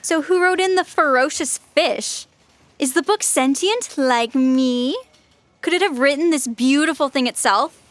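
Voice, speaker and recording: A woman's voice: sits high, a little slow. A woman playing a mischievous, cheeky female bird with a taste for chaos in a scripted comedy audio drama. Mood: curious. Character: mischievous sentient female bird, gleefully destructive.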